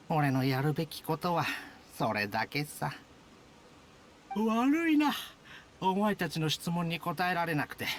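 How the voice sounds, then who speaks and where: A man's voice: a little high, a little hiss or hum, natural voice. A man playing a laid-back, aloof soldier who talks in an offhand way. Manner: relaxed, offhand. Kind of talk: Japanese game dub.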